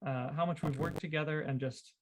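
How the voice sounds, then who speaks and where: Enthusiastic man with a highish voice. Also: fairly even intonation, a little fast, rough recording. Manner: enthusiastic, repeats phrases. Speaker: podcast host. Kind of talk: casual chatty podcast conversation.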